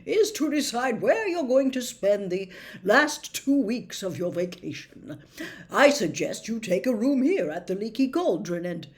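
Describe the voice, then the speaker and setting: A woman's voice: a little high, big pitch swings, unhurried. A woman voicing a jovial, blustering middle-aged male government minister in a storybook reading, effusive and given to hemming. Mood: jovial, dismissive. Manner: effusive, hesitant, hemming and throat-clearing. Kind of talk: audiobook character reading.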